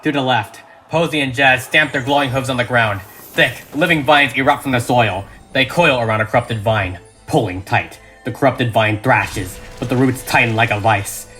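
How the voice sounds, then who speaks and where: A man's voice: medium pitch, moderate pace, bright, forceful tone. A man reading a script as dramatic narration, with emphatic, suspenseful delivery. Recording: music behind the voice.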